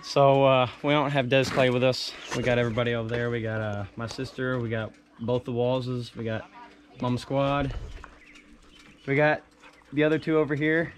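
A man's voice: mid-range voice, level tone, normal speed. A young man, chatting casually and joking while riding four-wheelers outdoors on a farm. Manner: joking, calls out to riders. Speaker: vlogger. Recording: loud engine and wind noise.

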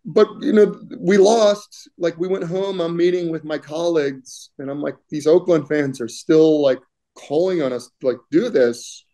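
A middle-aged man talking casually as a guest on a video-call interview, with many fillers and frequent throat-clearing. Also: fairly high pitch, unhurried, dark tone. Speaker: union political director, guest. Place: home room, indoors.

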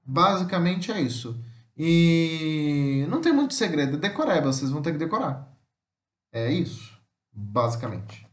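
A man with a Brazilian Portuguese accent teaches in a fairly high voice. He reads material aloud, then explains it informally and haltingly, repeating himself and adding little check-in questions. The room echoes noticeably around him. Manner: halting, repetitive, frequent tag questions to check understanding. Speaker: language teacher. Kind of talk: informal lesson, reading slides aloud and explaining. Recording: roomy, echoing voice-over.

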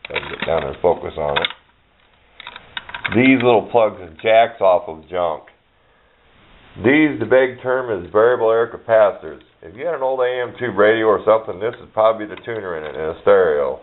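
A man's voice: fairly low pitch, animated, normal speed. A middle-aged man giving a casual, unscripted show-and-tell, rambling along in a plainspoken way. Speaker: hobbyist showing his radio parts collection. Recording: muffled narrowband camera audio, noisy, clicks.

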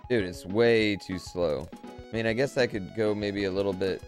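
A man's voice: on the low side, normal speed. A man, around his thirties, streaming a video game with casual, slangy, sweary commentary to his chat, speaking into a close desk microphone at home. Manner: profane, excitable, slangy. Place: home streaming room, indoors. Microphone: close desk microphone on an arm. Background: video game music.

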